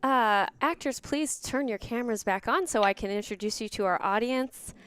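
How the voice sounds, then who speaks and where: A woman's voice: on the high side, normal speed, a little hiss or hum. A woman host addressing the audience of a live online theatre show, as in a curtain call or sign-off. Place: remote online video call.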